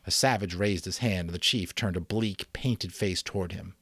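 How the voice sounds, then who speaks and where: A man reading an audiobook as a dramatic, expressive storyteller. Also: low voice, normal speed, husky, no room sound. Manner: expressive, theatrical. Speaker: audiobook reader.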